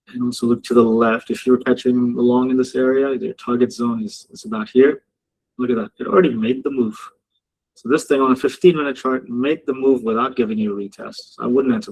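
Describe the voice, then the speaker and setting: Gravelly, husky man chatting on a live stream. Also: medium pitch, darkish tone, rough recording. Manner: chatty, laughs often, jokes with the chat. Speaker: trading mentor hosting a live session. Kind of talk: casual live-stream commentary. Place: home office in Dubai.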